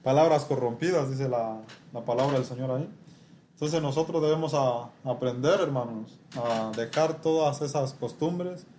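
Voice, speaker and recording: A man preaching a sermon and teaching from scripture, speaking haltingly with frequent rhetorical tag questions. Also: medium pitch, warm tone. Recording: noisy room ambience.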